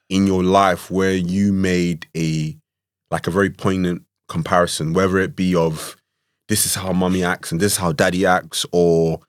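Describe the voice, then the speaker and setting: A young man co-hosts a casual podcast. His voice sits low and he tells his story in a chatty, animated way, full of "like" and "you know what I mean". The sound is close and dry, with no room around it. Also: dry recording. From Ireland. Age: 20s. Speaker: podcast co-host.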